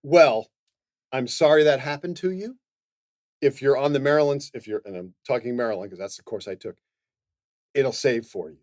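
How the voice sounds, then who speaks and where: Podcast guy handing out casual advice, medium pitch, bit halting, repeats himself, dry room. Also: darkish tone, dry recording. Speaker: podcast co-host.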